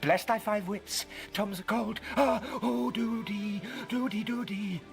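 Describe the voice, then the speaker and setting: An actor playing a wild, shivering mad beggar haunted by fiends, chanting manically in a Shakespearean audio drama. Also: male voice, high voice, steady tone, takes it slowly, gravelly, over music, full character voice. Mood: shivering misery.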